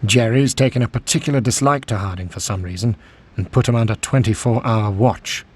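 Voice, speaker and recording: An affable British major in a video game. His voice is fairly low and raspy, with clipped, gentlemanly understatement. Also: brisk. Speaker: escape officer. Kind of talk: video game cutscene acting.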